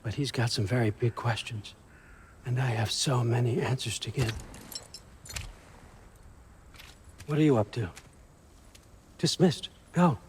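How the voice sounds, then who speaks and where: An actor playing a scheming old ruler god in a video game, glib, falsely genial and wheedling in a conversational way. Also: male voice, mid-range voice, slight background noise, natural voice. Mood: wry, amused. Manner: wry, wheedling, conversational, deliberate pauses. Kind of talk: video game cutscene acting.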